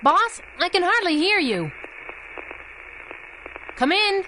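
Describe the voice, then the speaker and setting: An actress playing a plucky young masked sidekick in a 1930s-style radio adventure, speaking in a spirited, slangy way. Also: female voice, very high-pitched, big pitch swings, slow, sharp tone, slight background noise, full character voice, low-quality recording. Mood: urgent, calling out.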